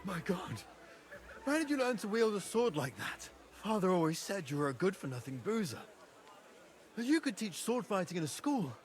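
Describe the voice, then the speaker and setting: An actor playing a proud young medieval nobleman in a video game, brisk, direct and confident. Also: sits high, very animated, soft background music. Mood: amused disbelief. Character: proud young medieval nobleman, younger brother, hot-headed but loyal. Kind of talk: cinematic video game dialogue.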